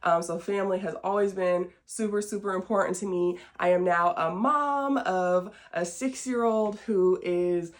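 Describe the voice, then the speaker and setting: A woman's voice: on the low side, measured pace, few pauses. A woman in her late twenties with an American accent, hosting a podcast and chatting casually to the audience in a folksy, self-deprecating way. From small-town Southern Illinois, United States. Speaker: podcast host. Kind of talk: casual chat to camera, introducing a show.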